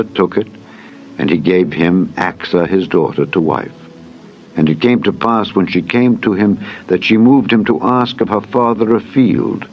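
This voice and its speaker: A man's voice: sits low, dull audio, slight background noise. A man narrating a dramatized Bible reading in a solemn, formal manner. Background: music.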